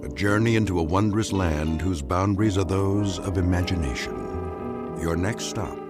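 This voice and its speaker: A man delivering scripted radio narration and announcements in a deliberate, authoritative way. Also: low-pitched, over loud music.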